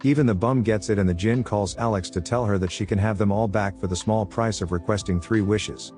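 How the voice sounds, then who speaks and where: A man narrates a film recap in a fairly deep voice, tossing in flippant asides as he goes. The delivery is scripted and sardonic, with soft music underneath. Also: fairly quick.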